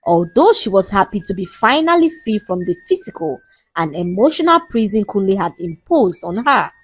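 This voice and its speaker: Woman telling a dramatic folktale, really animated pitch, bit husky, dry close sound. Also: normal speed, dry recording, faint music behind, low-quality recording. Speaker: storyteller. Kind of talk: scripted folktale narration, dramatic storytelling.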